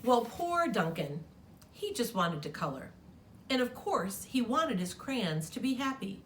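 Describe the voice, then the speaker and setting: A woman's voice: fairly high pitch, very animated, measured pace, a bit bright, distant mic, a little hiss or hum. A woman who works at a school, reading a children's picture book aloud. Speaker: story reader, school staff member.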